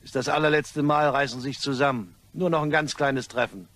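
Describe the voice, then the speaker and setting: An actor playing a smug, mock-polite blackmailer in a crime radio play, with a suave and taunting manner. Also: male voice, medium pitch, steady tone, fairly quick, a bit bright, lightly acted. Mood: smug, threatening. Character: smug male blackmailer, mockingly courteous and menacing.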